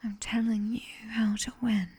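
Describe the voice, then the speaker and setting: A British woman playing a sharp-tongued nightmare demoness tutor in an ASMR roleplay, whispering breathily and close to the microphone with clipped, teasing authority. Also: fairly high pitch, level tone, breathy edge. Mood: dry, teasing. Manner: slow, clipped instructions with dry, teasing praise. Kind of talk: ASMR roleplay, guided sleep session. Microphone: close binaural microphone.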